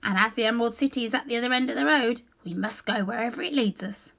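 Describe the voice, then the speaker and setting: A woman's voice: fairly high pitch, fast, gravelly, a bit bright. A woman voicing a naive male scarecrow, earnestly and simply telling his story as in a children's storybook. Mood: earnest, hopeful.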